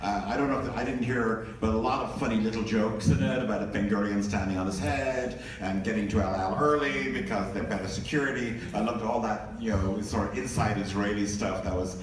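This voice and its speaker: A man's voice: lowish voice, brisk, hardly any pauses. Middle-aged man moderating in an echoey theater. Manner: chatty, enthusiastic. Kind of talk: live Q&A moderating. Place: large cinema stage. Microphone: handheld mic heard from the audience. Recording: echoey theater recorded from far, with audience noise.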